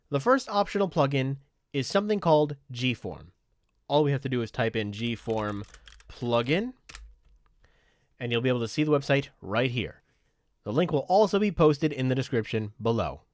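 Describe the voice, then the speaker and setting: A man giving a scripted software tutorial in a methodical, instructional way, as a voice-over on a close microphone. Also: medium pitch, no echo. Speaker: tutorial presenter.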